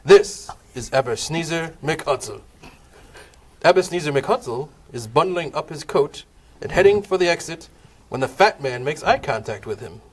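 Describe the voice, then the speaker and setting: A man's voice: a little high, expressive, moderate pace, breathy edge. A man narrating a comic table read of a Christmas play, wry in tone. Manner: wry, laughs at the cast.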